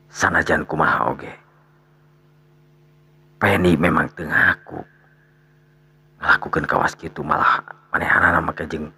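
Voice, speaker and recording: A man acts out a resigned older father in a radio drama. His very low, husky voice crackles with fry and often sinks to a conspiratorial mutter, close to a whisper, with heavy sighs. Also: sharp tone, heavily acted. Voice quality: gravelly, breathy, dropping to near whisper. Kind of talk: radio storytelling drama dialogue.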